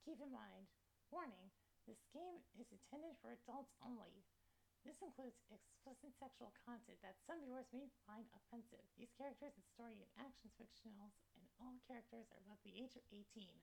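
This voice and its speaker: Gravelly-voiced woman reading a game aloud. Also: on the high side, fairly quick, darkish tone, low-quality recording. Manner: informal, chatty asides between read passages, stumbles over words. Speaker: host of a gaming video, reading a visual novel aloud. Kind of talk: let's play commentary and read-aloud narration. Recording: music behind the voice. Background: background music.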